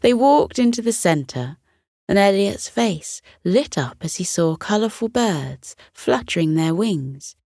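A woman narrating a children's bedtime story in a soothing, deliberate, expressive storytelling style. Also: low-pitched, no room sound, clean studio sound.

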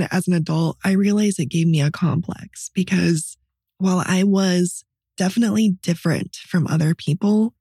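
Husky, raspy-voiced woman podcaster. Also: fairly low pitch, warm tone, dry recording, studio quality. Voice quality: raspy voice from partly paralyzed vocal cords. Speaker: podcast co-host. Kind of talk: personal storytelling in casual podcast chat.

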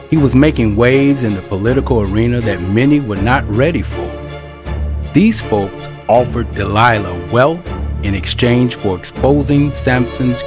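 Male storyteller over clear music. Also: lowish voice. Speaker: narrator. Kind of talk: storytelling narration for an audio drama. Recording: music bed behind narration.

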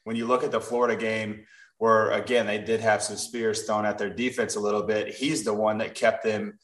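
A man's voice: lowish voice, little pitch movement, moderate pace, a bit bright, distant mic. A man co-hosting a sports podcast, chatting casually, often restarting words and joking. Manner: hesitant, repeats words, jokey. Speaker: podcast co-host. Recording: roomy echo.

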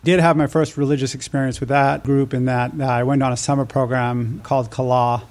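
A man's voice: medium pitch, little pitch movement, a little fast, darkish tone. The level-toned American man you would hear as a podcast guest, recounting his life story conversationally with little rise or fall. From United States (New Mexico and Nevada).

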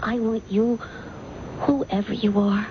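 A woman's voice: mid-range voice, steady tone, slow. An actress playing an emotional, lovestruck and possessive young woman in a radio mystery drama. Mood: infatuated. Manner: intense, emotional, pleading. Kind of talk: melodramatic radio mystery acting. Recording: muffled, noisy vintage radio broadcast recording.

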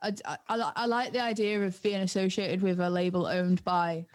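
A young woman speaks with a North East English accent at a middling pitch. She is chatting casually and informally, with plenty of slang and a giggly manner. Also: flat delivery, normal speed. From North East England. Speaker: DJ and music producer. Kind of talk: casual chat.

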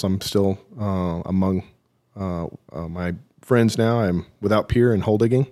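A man being interviewed as a military veteran, telling his story haltingly with self-deprecating, dry humour. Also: sits low, a little mellow. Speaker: podcast guest, military veteran.

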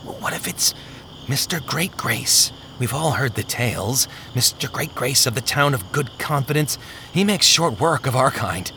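A man doing a high, jittery timid robber. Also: lively intonation, normal speed, background noise. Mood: fearful. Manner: fretful, questioning. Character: timid nervous male robber brother.

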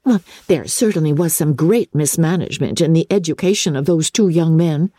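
A woman voicing a witty, candid, spirited young English gentlewoman in a novel reading, with a wry, teasing, articulate manner. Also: deep voice, animated, normal speed, dry recording. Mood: playful irony. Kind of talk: dramatic classic novel reading.

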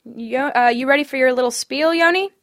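A woman's voice: high voice, a little fast, a bit bright. A woman playing a practical, patient community centre staffer in a sitcom script table read. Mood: matter-of-fact.

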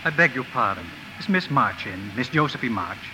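An actor playing a shy, humble professor with a foreign accent, speaking formally and hesitantly in a 1950s radio drama. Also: male voice, medium pitch, lively intonation, normal speed, background noise, natural voice. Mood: timid, polite. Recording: old radio broadcast with music.

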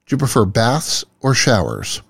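A man hosting an interview, reading scripted questions with lively, announcer-like delivery. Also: medium pitch, moderate pace, gravelly, husky, no room sound. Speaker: interview host. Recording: dry sound with faint music behind.

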